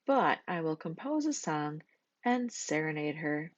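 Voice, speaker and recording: A woman voicing a devoted, cheerful gentleman goat in a children's storybook, earnest and polite. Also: sits low, sing-song, unhurried, no room sound, muffled recording.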